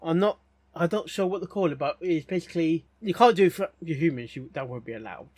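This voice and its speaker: A man giving casual, rambling reaction commentary as a host, haltingly, often repeating words and phrases. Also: fairly high pitch, expressive, darkish tone, no echo.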